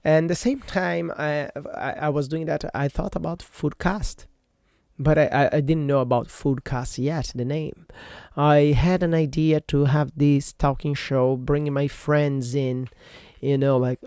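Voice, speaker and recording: A man's voice: on the high side, steady tone, unhurried, darkish tone, clean tone, dry recording, clean studio sound. Rambling man hosting a solo podcast. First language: Portuguese. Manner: rambling, many fillers and self-corrections. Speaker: podcast host. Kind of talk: casual solo podcast monologue. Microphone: computer microphone.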